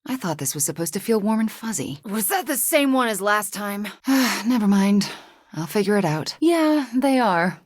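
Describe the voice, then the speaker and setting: A woman voicing a young sorceress heroine in a video game, guilt-ridden but earnest and wry, with a soft, hesitant, sincere way of talking. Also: mid-range voice, very animated, normal speed, no echo, clean studio sound. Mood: earnest, lightly wry.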